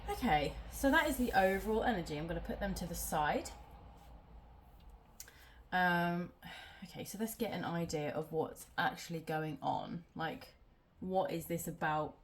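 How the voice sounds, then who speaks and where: A woman's voice: lowish voice, animated, a little slow, a little hiss or hum. A woman doing a casual tarot reading at home, sounding nasal from a cold and rambling with many ums. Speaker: tarot reader.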